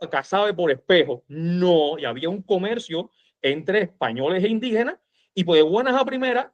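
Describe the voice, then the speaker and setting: Cuban man, fairly high voice, emphatic informal storyteller-commentator, slightly muffled lo-fi sound. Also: expressive, normal speed. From Cuba. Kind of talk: animated informal history discussion.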